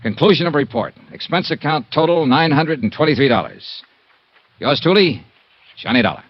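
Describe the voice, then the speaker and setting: An actor playing a tough, wry insurance investigator, clipped and sardonic, in 1950s radio noir. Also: male voice, a little high, expressive, normal speed, no room sound. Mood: terse, wry. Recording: dull vintage radio recording.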